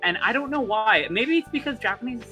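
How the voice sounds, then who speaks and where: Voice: mid-range voice, lively intonation, fairly quick. A co-streamer talking candidly during a casual gaming chat, hesitant and full of ums. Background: game music.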